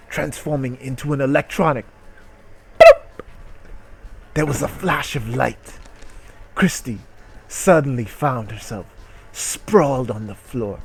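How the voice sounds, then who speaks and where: Male host for comic dramatic readings: unhurried, mock-serious delivery, medium pitch, faint noise behind. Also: vocal fry. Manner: mock-serious narration broken by loud, incredulous outbursts. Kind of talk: comic dramatic reading of an erotic short story.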